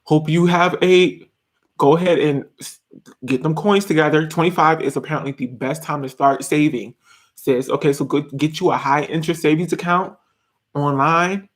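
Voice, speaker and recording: A man's voice: on the high side, steady tone, normal speed. Chatty young man on a live stream. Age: 20s. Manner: chatty, laughing often, frequent fillers and restarts. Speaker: YouTuber reading live chat. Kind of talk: casual live-stream chat. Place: small bedroom, indoors.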